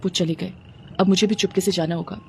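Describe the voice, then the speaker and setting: A woman's voice: fairly low pitch, steady tone, brisk, vocal fry, a little mellow, a little hiss or hum. A woman voicing a suspicious young wife, anxious but determined, in a storybook tale. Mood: anxious resolve.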